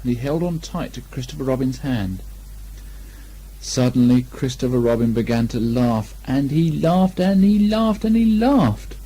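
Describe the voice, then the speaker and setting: Male children's story narrator: mellow, slightly husky, expressive, very varied intonation. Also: medium pitch, unhurried. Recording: lo-fi 1980 amateur recording.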